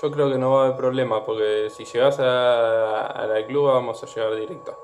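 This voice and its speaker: A man's voice: medium pitch, steady tone, normal speed. A man with a Buenos Aires Argentine accent, an amateur radio operator talking casually and using phonetic call signs. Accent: Argentine, Buenos Aires area. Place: indoors at a desk radio set. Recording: dull sound with clear background noise.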